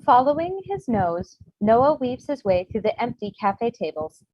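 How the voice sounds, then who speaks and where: A woman's voice: a little high, sing-song, a little slow, slight background noise. A woman giving scripted narration, reading stage directions for a comedy table read. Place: remote virtual table read. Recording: muffled video-call audio.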